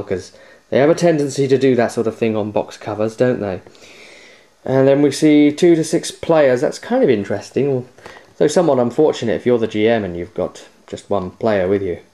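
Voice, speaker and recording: A man's voice: mid-range voice, expressive, moderate pace, dark tone. A man hosting a casual tabletop game unboxing, chatty and jokey with playful theatrical flourishes. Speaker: host of a tabletop game review show. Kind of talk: casual unboxing review to camera.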